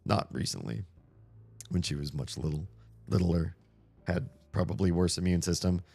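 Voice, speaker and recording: A man's voice: deep voice, fairly even intonation, normal speed, vocal fry, darkish tone. A man in his thirties, a streamer chatting casually while playing a game, rambling with lots of fillers as he loses his voice. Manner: rambling, easygoing, many fillers. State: losing his voice. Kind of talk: casual game livestream chat.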